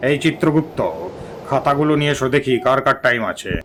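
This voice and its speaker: A man plays an overworked king of the underworld in a comic cartoon. He grumbles in a mid-pitched, colloquial, lordly voice, with faint noise behind it. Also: steady tone, fast, a bit bright, lightly acted. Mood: weary grumbling.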